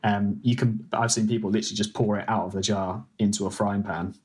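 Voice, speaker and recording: Brief: young english guy, lowish voice, chatty and halting, former baker talking shop over a video call. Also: flat delivery. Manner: hesitant, lots of ums and restarts, laughs easily. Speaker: food editor and former baker, guest. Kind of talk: casual conversational explanation.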